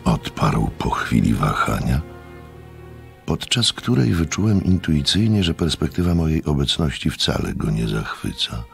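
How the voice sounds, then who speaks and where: An extremely deep, creaky male voice as a sceptical gentleman narrator. He tells a radio mystery reflectively, with lively swings of pitch and faint music behind. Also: unhurried, full character voice. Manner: reflective, storytelling.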